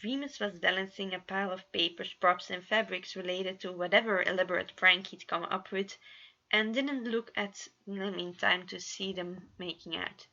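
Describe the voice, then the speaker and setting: A woman narrating a scripted story as an amateur reader, expressive, with small stumbles. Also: mid-range voice, normal speed, creaky, lo-fi sound. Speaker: amateur fan fiction reader.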